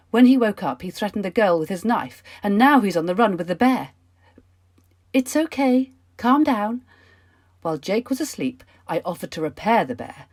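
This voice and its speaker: A woman's voice: fairly low pitch, dry recording, clean studio sound. A woman acting a sly, chatty passenger with an innocent front in an amateur radio play. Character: sly female passenger, outwardly innocent secret schemer.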